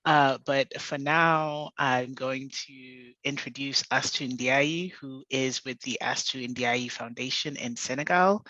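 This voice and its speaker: A man moderates an online panel in a polite, deliberate way. His voice is bright and forceful. The sound is dry and somewhat dull, like a web call. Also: mid-range voice, steady tone, no room sound.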